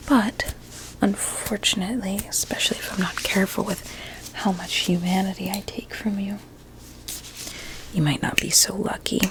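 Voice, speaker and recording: A woman playing a vain, sinister blueberry doctor in an ASMR medical roleplay, speaking in a soft breathy whisper with soothing menace. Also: mid-range voice, close to an everyday voice, poor audio. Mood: softly menacing.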